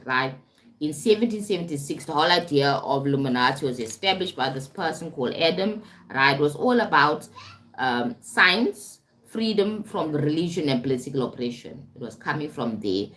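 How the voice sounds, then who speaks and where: A woman from South Africa who works as a spiritual and life coach, talking casually to viewers on a live stream. She rambles, repeats herself and keeps checking in with "right?". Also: deep voice. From South Africa (Durban). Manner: rambling and repetitive, often asks "right?" and "you understand?".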